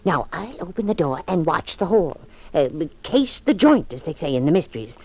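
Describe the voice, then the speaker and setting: A prim, meddling old woman with a lively, bouncing voice, old lo-fi radio sound. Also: on the low side, moderate pace, husky, dry recording, exaggerated voice. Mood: scheming. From Muncie. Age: older. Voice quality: chirpy, fussy, quaintly prim. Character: spry, meddling old woman hooked on detective stories. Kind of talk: 1940s radio acting. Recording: dull lo-fi 1940s radio broadcast.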